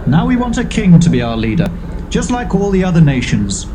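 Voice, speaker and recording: A blunt elder of the nation, high-pitched and declamatory, with strongly swinging intonation. It is insistent audio Bible drama over clear background noise. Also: male voice, very animated, a little fast. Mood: insistent demand. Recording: noisy background ambience.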